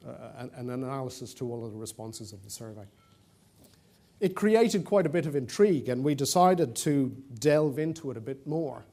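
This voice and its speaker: A middle-aged Irish man speaks to a conference audience in a warm, mellow voice at an ordinary pitch. He rambles a little, joking about himself, with plenty of fillers and restarts. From Ireland. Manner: chatty and self-deprecating, with frequent fillers and restarts. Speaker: conference presenter. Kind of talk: conference talk.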